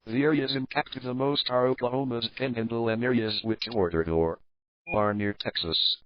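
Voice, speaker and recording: A man's voice: medium pitch, fairly even intonation, normal speed, no room sound. A man reading a scripted community emergency announcement as an announcer. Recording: dry, dull muffled audio.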